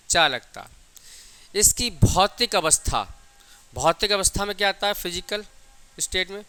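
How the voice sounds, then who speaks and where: A male teacher delivers a lecture in a very bright, crisp and fairly high voice. He dictates definitions, repeats key phrases, and poses questions that he answers himself. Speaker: physics teacher.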